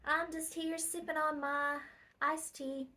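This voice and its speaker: A young woman giving a birthday video message in a put-on Southern American drawl, pausing with fillers. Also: sits high, little pitch movement, pushed and bright. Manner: hesitant with fillers. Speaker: daughter-in-law.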